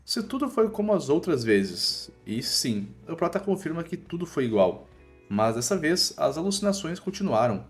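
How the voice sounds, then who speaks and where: A man's voice: fairly high pitch, sing-song, moderate pace. A Brazilian man narrating a scripted comic recap in a colloquial, dramatic storytelling manner. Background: music.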